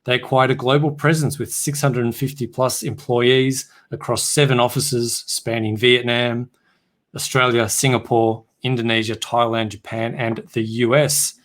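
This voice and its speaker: Male podcast host, friendly and chatty, asks open questions, medium pitch. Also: flat delivery, moderate pace, a bit bright.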